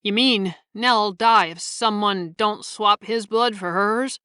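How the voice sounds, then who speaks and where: A woman voicing a rough, stoic young man of about twenty who talks in blunt slang. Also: mid-range voice, slow, pushed and bright, no echo, studio quality. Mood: gruff.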